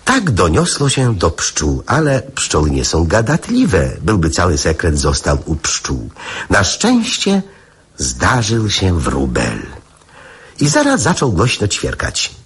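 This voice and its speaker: A man playing a whimsical fable storyteller in a radio play, with deliberate, suspenseful delivery. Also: low voice, a bit bright, breathy edge, heavily acted. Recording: music behind the voice.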